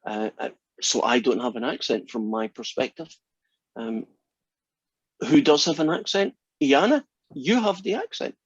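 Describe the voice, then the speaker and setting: A man's voice: mid-range voice, husky, no room sound. A Scottish man in his sixties introduces his math course with plenty of teasing. His voice sits in an ordinary range and is picked up dry and close. The sound is a little muffled and lo-fi. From Scotland. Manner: teasing, joking. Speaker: math instructor. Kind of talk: class introduction. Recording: slightly dull lo-fi sound.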